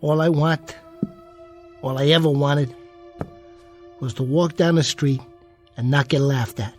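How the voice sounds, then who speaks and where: An actor playing a bitter, boastful small jockey in a radio drama, with an American accent and slangy, defiant streetwise talk over music. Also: male voice, a little high, fairly even intonation, a little slow, close to an everyday voice. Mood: bitter, wounded. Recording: music behind the voice.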